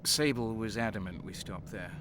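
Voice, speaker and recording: A man playing a brooding, cynical soldier in an audio drama, recounting dark deeds in a halting, sombre, bitter way. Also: lowish voice, background noise, lightly acted. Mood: haunted. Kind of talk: dramatic first-person narration and audio drama acting.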